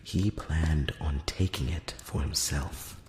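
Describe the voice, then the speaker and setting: A solemn elvish emissary, played by a man, whispers in an extremely low voice, close to the mic. His delivery is slow, formal and probing, with deliberate pauses. The room echoes around him over a faint hiss, in the style of a fantasy ASMR roleplay. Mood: grave, measured. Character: elf emissary, male, solemn, measured and probing. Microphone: close-up microphone.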